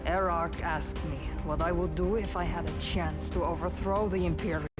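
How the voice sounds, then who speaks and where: A woman's voice: lowish voice, steady tone, normal speed, over loud music, natural voice. A woman playing a vengeful, bitter revolutionary in a video game, delivered dramatically and indignantly. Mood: grim, resolute. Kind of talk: video game cutscene acting.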